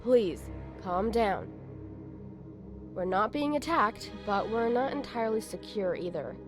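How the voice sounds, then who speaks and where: A woman's voice: mid-range voice, lively intonation, a little slow, over music, lightly acted. A woman playing a polite, composed android overseer in a visual novel game, speaking formally.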